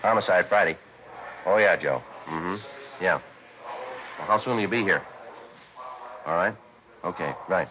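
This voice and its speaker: An actor playing a terse, businesslike American homicide detective, deadpan and matter-of-fact, in a 1950s radio police drama. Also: male voice, deep voice, measured pace, pauses often, muffled recording, noisy background. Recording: vintage radio recording, band-limited, music behind.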